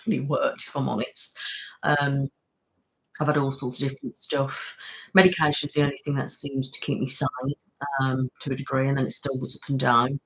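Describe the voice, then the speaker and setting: Woman, relaxed live-chat host who rambles and laughs a lot, fits an easygoing streamer read. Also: extremely low pitch, rough recording. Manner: chatty, rambling, laughs often, thanks viewers by name. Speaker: ASMR channel host. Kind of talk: casual live-stream chat, reading comments.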